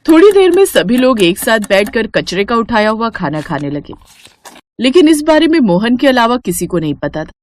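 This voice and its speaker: A woman's voice: a little high, very animated, normal speed. A woman narrating a cartoon moral story in a scripted storytelling style.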